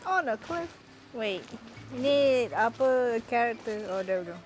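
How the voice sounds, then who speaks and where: A woman's voice: fairly high pitch, animated, measured pace. A woman doing casual livestream game commentary, mixing English with Malay. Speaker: game streamer. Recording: loud game music under voice. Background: game music.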